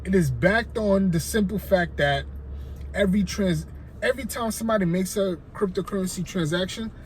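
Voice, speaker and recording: Haitian guy rambling to camera, voice a bit high, some faint noise behind. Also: normal speed, rough recording. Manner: loose, rambling, frequent "right?" check-ins. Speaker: finance vlogger. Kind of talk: casual talk to camera.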